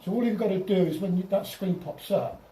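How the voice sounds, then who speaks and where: A man giving an informal software tutorial over slides as a club presenter, halting and often repeating or restarting his phrases. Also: high voice. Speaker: presenter at an amateur radio club. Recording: roomy, distant mic, faint noise.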